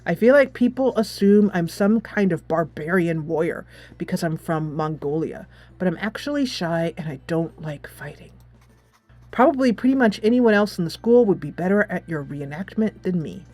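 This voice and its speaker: A woman performs a gentle, shy teenage boy in a visual novel game. The voice sits low and subdued, soft and hesitant. The sound is dry, with music behind. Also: animated, normal speed, dry recording. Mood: shy, reluctant. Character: shy, gentle teenage Mongolian schoolboy, peace-loving.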